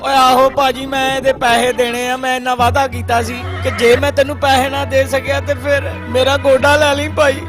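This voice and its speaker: A man's voice: extremely high pitch, flat delivery, normal speed, few pauses. A man voicing a humble, pleading debtor in a comic cartoon dub, with a Punjabi accent. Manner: pleading, deferential. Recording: music behind the voice. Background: music.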